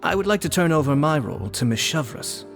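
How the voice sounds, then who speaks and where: An actor playing a composed, formal older brother and diplomat in a game, speaking calmly and politely. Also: male voice, fairly high pitch, fast, creaky, soft background music.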